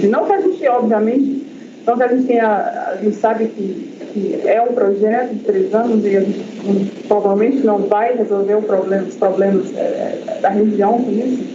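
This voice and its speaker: High-voiced man presenting in an echoey hall. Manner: hesitant, many fillers and restarts. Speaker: researcher presenting a project. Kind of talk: seminar presentation. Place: university lecture room. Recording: echoey lecture hall, dull lo-fi sound, audible noise.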